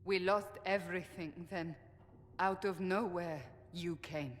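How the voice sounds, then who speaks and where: A woman's voice: fairly low pitch, steady tone, a little slow, slight background noise, lightly acted. An actress playing a resolute, devout female warrior in a fantasy video game, commanding and grave. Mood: solemn. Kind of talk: dramatic video game acting. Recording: long hall reverb, music behind.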